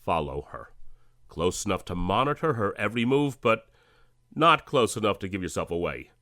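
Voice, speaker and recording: An actor playing a stern, calculating government director in an audio drama, clipped, deliberate and authoritative. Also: male voice, fairly low pitch, big pitch swings, fairly bright tone, no echo, clean studio sound. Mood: suspicious, commanding.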